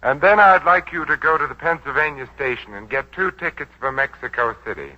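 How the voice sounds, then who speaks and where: An actor playing a suave, ruthless crime boss in a 1940s radio drama, speaking in a smooth, coolly condescending way. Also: male voice, fairly high pitch, a little fast, bright, forceful tone. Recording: muffled vintage 1940s radio broadcast.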